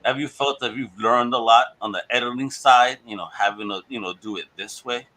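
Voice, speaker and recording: A man hosting a podcast, gushing with enthusiasm in casual chat. His voice is bright and forceful at an ordinary pitch. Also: brisk, poor audio. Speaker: podcast host.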